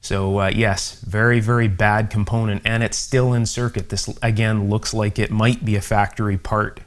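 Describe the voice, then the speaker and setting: A man giving an unhurried, methodical hands-on electronics repair demonstration, with conversational asides as he explains each step. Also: lowish voice, flat delivery. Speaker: host, electronics repair instructor. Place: indoor electronics workshop.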